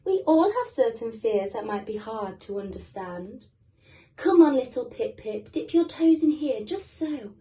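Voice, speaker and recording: Woman as a gentle high-voiced mother penguin. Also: expressive, a little slow, recorded from a distance. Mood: patient, coaxing. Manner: soothing, coaxing.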